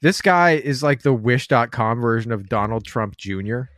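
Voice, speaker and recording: A man's voice: mid-range voice, expressive, normal speed, fairly bright tone, no echo, studio quality. A man co-hosting a podcast, joking around with sarcastic asides. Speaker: podcast co-host. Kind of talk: casual podcast chat.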